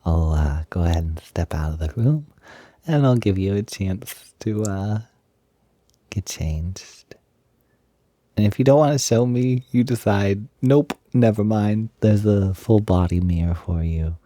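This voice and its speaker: A man acts out a gentle, doting young male roommate for an intimate audio roleplay. His voice is very dark and mellow, soft and breathy, recorded dry. He speaks slowly, with a hesitant, reassuring and gushing manner. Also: fairly low pitch, dry recording. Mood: flustered.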